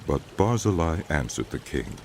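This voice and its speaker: A man narrating a dramatized Bible as a scripted, measured storyteller. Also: a bass voice, very animated, creaky, slight background noise.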